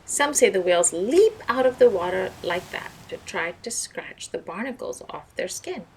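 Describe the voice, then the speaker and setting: A woman's voice: mid-range voice, animated, moderate pace, a little mellow, slight background noise, close to an everyday voice, poor audio. A woman voicing a hearty, proud male sea captain in a storybook, explaining things with emphasis. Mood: informative.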